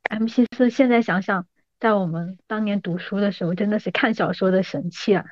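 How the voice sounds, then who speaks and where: A woman's voice: mid-range voice, fairly quick, lo-fi sound, slight background noise. A woman in her thirties hosting a casual podcast chat, listening attentively and prompting with questions. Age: 30s. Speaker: podcast host.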